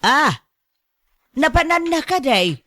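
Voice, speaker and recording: A woman plays an exasperated Filipino grandmother in a radio drama. She scolds and complains in drawn-out exclamations, her voice on the high side and her pace slow. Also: sing-song, pushed and bright, heavily acted. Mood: exasperated scolding. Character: exasperated, scolding mother worried about grandchildren.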